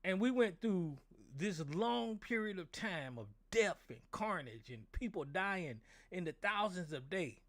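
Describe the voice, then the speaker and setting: A middle-aged American man with a hoarse, somewhat high voice rants with anger and plenty of profanity. He hosts a call-in talk show and keeps circling back over the same points, stumbling on his words. The sound is close and dry. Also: expressive, measured pace, hardly any pauses, no room sound. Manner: angry, profane, repetitive. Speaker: show host. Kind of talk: political rant on a call-in show.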